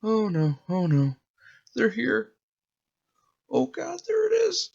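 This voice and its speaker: A man's voice: high voice, wildly swooping pitch, slow, creaky, darkish tone, slightly rough, highs cut off, pitched above the performer's own voice, heavily acted. A man voicing a frightened, startled little boy in a read-aloud story, whimpering and exclaiming.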